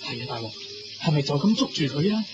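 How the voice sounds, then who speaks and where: A man's voice: a little high, sing-song, fast talker, heavy background noise. A man with a Hong Kong Cantonese accent playing an earnest, deferential royal guard in a radio drama. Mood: deferential, curious. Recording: dull lo-fi radio recording. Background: music.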